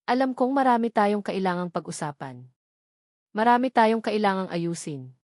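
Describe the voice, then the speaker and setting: An earnest young boss declares his love in a calm, steady voice, with a woman reading his lines. The pitch sits in a middle range. The recording is dry and close. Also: normal speed, no room sound, close to an everyday voice. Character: devoted young male boss, earnest and loving.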